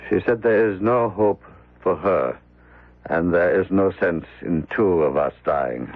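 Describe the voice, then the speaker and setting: An actor playing a grieving, defiant manservant with a deliberate, coldly formal manner, in a radio drama. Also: male voice, deep voice, phone-quality sound, a little hiss or hum. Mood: grieving, menacing. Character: grieving, bitterly defiant male servant. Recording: thin, dry vintage radio recording.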